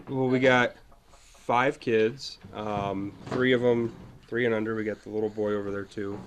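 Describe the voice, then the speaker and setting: A man's voice: on the low side, steady tone, a little slow, a little hiss or hum. A man talking casually and unscripted as an interviewee in a news story. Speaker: pet owner interviewed for news.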